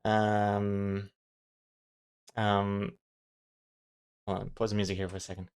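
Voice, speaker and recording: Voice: sits low, flat delivery, slow pace, darkish tone, clear, resonant tone. A comic artist from New Zealand with a mixed, not quite New Zealand accent, chatting casually with livestream viewers and thinking aloud in a rambling way.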